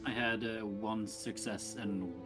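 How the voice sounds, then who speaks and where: A man's voice: on the low side, steady tone, slow pace, music in the background. A man chatting casually as a player after a tabletop game. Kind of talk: casual chat.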